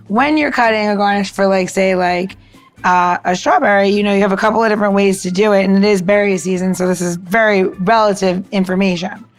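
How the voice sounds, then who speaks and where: A woman podcast co-host chatting casually and explaining things conversationally, often checking in with the listener. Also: medium pitch. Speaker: podcast co-host giving a cocktail tip. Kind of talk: casual chat and demonstration.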